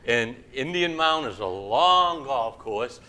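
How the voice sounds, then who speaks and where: A man's voice: medium pitch, lively intonation, takes it slowly, bright, forceful tone. A man in his sixties hosting a casual interview, chatty and encouraging, rambling between thoughts. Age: 60s. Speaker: show host.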